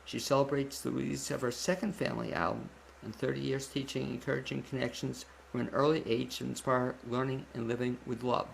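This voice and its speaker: Gravelly-voiced male radio show host. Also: mid-range voice, flat delivery, fairly quick, hardly any pauses, slight background noise, rough recording. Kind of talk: conversational interviewing with announcements.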